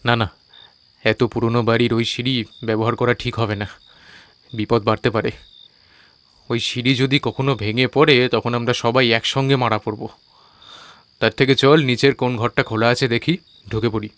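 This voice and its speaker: A man's voice: mid-range voice, flat delivery, moderate pace, long pauses, a bit bright, lightly acted. An actor playing a cocky, scoffing young man who leads his friends in an audio ghost story. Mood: commanding. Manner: mocking, colloquial. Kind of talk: audio drama dialogue in a Bengali ghost story.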